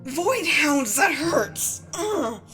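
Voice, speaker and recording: A woman's voice: high-pitched, very animated, extremely slow, music in the background, full character voice. A woman playing a vicious, impatient villain sister in an audio drama, snappish and sneering. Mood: irritated. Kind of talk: audio roleplay drama acting.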